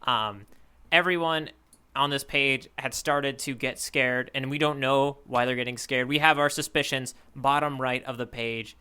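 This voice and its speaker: A man's voice: medium pitch, fairly even intonation, a little slow, pushed and bright, clear, resonant tone. A man co-hosting a podcast, chatting casually about manga in wordy, playful descriptions. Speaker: podcast co-host. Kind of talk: casual manga discussion chat.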